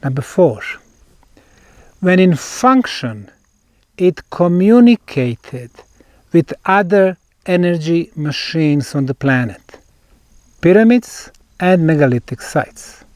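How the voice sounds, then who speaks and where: A man with a Bosnian accent explains a site on location as a researcher, in deliberate, emphatic short phrases with pauses. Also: on the high side, expressive, a little mellow, no echo. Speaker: researcher presenting a field investigation.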